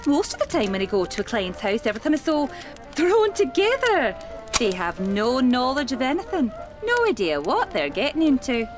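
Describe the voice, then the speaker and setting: A woman playing an elderly spirit medium in a period audio drama, prim and dryly teasing, speaking in a deliberate, proper way. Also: high voice, wildly swooping pitch, over music. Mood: solemn.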